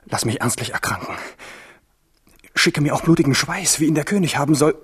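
A man's voice: on the high side, lively intonation, fairly quick, natural voice. Wily, intense young king played by an actor. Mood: desperate pleading. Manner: theatrical, intense. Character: wily young captive king, outwardly deferential, inwardly anguished. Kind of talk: German radio play acting.

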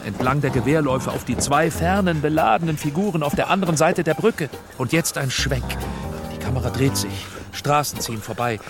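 Actor as driven, exhausted film director, music behind. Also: male voice, mid-range voice, lightly acted. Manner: terse, commanding. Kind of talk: German radio play acting.

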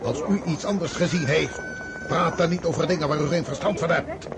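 An actor in a radio drama playing a proud, stubborn Russian commander-in-chief who speaks in a haughty, declamatory way. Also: male voice, fairly high pitch, brisk, a loud crowd, close to an everyday voice. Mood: commanding. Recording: heavy outdoor battlefield noise behind.

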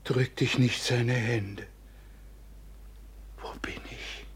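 An actor playing a frail, remorseful old father of noble birth in a classic radio drama, feeble, halting and lamenting, on a noisy recording. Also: medium pitch, steady tone, husky, whispered, full character voice. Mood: weak, mournful.

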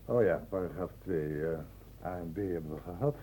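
An actor playing a curt, pedantic senior doctor in a radio drama. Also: male voice, low voice, natural voice. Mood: deliberate, dictating. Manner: pedantic, deliberate when dictating, curt in questioning. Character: brisk, impatient senior male doctor dictating a report. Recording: dull, noisy old radio play tape.

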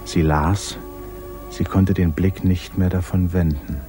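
A man narrating a 1950s German radio drama in a measured, grave literary style over a hissy old recording. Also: deep voice, fairly even intonation, over music.

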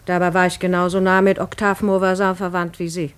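An actress as a low-voiced, grudging, weary mother. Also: little pitch movement, brisk, clear, resonant tone, close to an everyday voice. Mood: resentful. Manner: curt, matter-of-fact, grudging. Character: resentful, blunt, weary mother of seven. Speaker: radio drama actress. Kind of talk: 1950s German radio play acting. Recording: 1950s radio drama recording.

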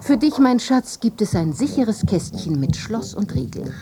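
An actress playing a possessive, mocking princess in a literary radio play, with a theatrical manner. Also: female voice, medium pitch, big pitch swings, normal speed, background noise, natural voice. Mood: scornful, reproachful. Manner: theatrical, swooping between scorn and hushed musing. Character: possessive, mocking princess, wife of a fallen nobleman.

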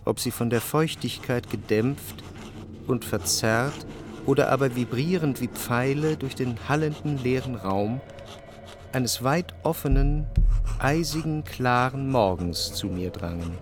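An actor playing a reflective, melancholic man narrating his memories in a literary radio drama, measured and introspective. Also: mid-range voice, background noise, lightly acted. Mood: dreamy, reflective. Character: reflective, melancholic male narrator recalling his past life.

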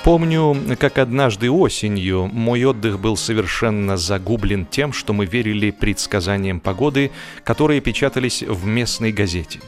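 A man reading a humorous literary story as an expressive narrator, with a theatrical, mock-solemn delivery. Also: on the low side, moderate pace, soft background music. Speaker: audiobook narrator.

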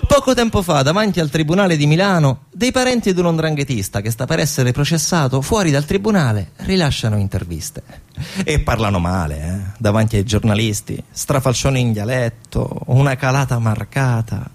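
A man's voice: medium pitch, expressive, normal speed. A man performing a theatrical narrative monologue, dramatic and emphatic with repeated phrases. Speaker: stage actor and narrator. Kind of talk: theatrical monologue storytelling about organised crime. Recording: guitar music behind the voice. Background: guitar music.

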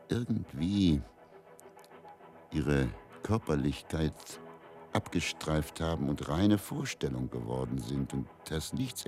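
A haunted, resigned man in a radio drama monologue. His voice is extremely low and moves slowly, with deliberate pauses. Music sits faintly behind him. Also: creaky, darkish tone, heavily acted. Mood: resigned, reflective. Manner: measured, deliberate, pausing. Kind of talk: slow first-person dramatic monologue.

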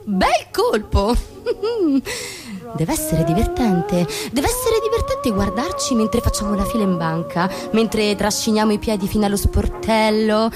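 An actress in a radio drama playing a bitterly sarcastic office colleague, emphatic and deliberate in repeating barbs. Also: female voice, on the high side, sing-song, over loud music. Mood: bitter, mocking. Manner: sardonic, deliberate, emphatic repetition. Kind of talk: theatrical radio drama monologue.

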